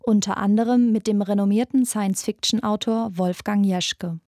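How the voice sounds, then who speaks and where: A woman's voice: medium pitch, steady tone, moderate pace, warm tone, no room sound, clean studio sound. A woman hosting a podcast, reading scripted documentary narration.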